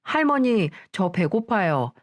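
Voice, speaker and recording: A woman's voice: mid-range voice, big pitch swings, slow, dry recording, clean studio sound. A woman voicing a young grandson who politely and sweetly asks his grandmother for food, in a story read aloud. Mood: eager.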